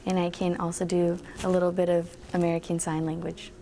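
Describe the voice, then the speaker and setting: A seventeen-year-old woman, a high school senior, answering interview questions in a matter-of-fact way. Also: monotone, brisk, dark tone, slight background noise.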